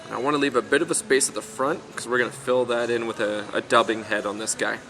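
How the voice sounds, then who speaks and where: A young man with a hoarse voice demonstrating fly tying at a shop bench, talking casually with lots of ums. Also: medium pitch, fast, soft background music. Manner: relaxed, chatty, lots of ums and uhs.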